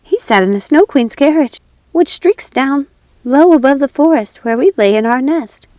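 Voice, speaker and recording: Woman voicing a high, lilting little wood pigeon. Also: lively intonation, normal speed, warm tone, dry recording. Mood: plaintive cooing. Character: cooing wood pigeons, small birds earnestly telling news. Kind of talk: storybook character voice.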